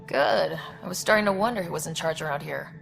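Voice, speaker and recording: A woman's voice: low-pitched, quick pace, creaky, a bit bright, exaggerated voice. An actress playing a bold, sardonic young woman explorer in a video game, speaking in a direct, dry, challenging way. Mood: skeptical. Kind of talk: video game cutscene acting. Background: music.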